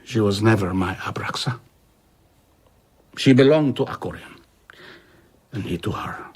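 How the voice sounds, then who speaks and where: An actor playing an older traveller in an audio drama, recounting his past with reflective, measured husky speech. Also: fairly low pitch. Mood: wistful. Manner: measured, explanatory. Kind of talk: audio drama dialogue, storytelling.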